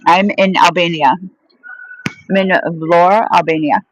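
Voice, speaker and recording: A woman's voice: fairly low pitch, steady tone, unhurried, highs cut off, slight background noise. A woman chatting casually on a live stream, answering viewer questions with a rambling, friendly flow. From United States. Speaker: vlogger answering live chat.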